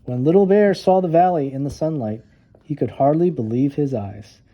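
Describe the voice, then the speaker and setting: A man narrating a children's storybook with expressive, dramatic emphasis on key words. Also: on the high side, normal speed, muffled recording. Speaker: storytime reader.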